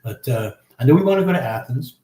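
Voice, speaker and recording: A man's voice: mid-range voice, expressive, fast talker, mellow. Laid-back guy in his 50s doing a live chat, echoey room. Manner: easygoing, chatty, many fillers. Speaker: livestream host. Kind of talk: casual live chat, reading viewer comments.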